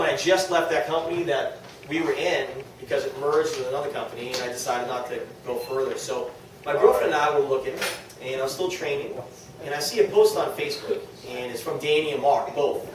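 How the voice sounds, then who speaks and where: A man's voice: fairly high pitch, a little fast. A man with a New England accent gives an informal motivational talk to a small group, conversational and self-deprecating, often checking in with his listeners. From New England, United States. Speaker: speaker at a direct-sales team meeting. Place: echoey indoor meeting room with an audience. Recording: very echoey room, distant mic, faint noise.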